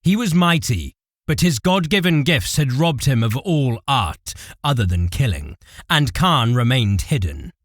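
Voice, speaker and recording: Male narrator for audio drama, lively swings in pitch, dry close studio sound. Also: unhurried, dry recording. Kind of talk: dramatic scripted fiction narration.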